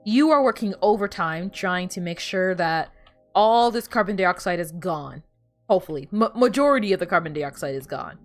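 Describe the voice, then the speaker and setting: A woman's voice: on the low side, lively intonation, normal speed, soft background music. Rambling woman streamer, native Spanish speaker. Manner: rambling, restarts sentences, chats with viewers. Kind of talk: casual chat while playing a video game.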